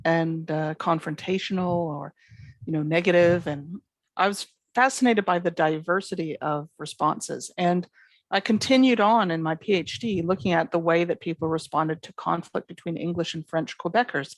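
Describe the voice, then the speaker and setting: A woman's voice: on the low side, normal speed, no echo. A middle-aged woman with a Canadian accent, a psychology professor answering interview questions thoughtfully and fluently. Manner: thoughtful, fluent academic explaining with many examples.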